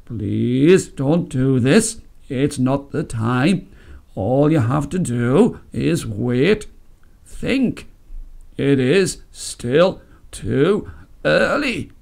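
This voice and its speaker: A man's voice: medium pitch, expressive, very slow, gravelly, a little mellow, full character voice. A man voicing an anxious old father cockerel, pleading earnestly in a storybook tale. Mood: desperate, pleading.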